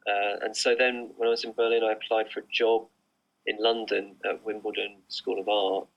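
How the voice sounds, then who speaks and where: A man's voice: lowish voice, little pitch movement, moderate pace, gravelly, muffled recording. A middle-aged man, an artist, answering interview questions online in a casual, hesitant way with many fillers and restarts. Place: remote online recording.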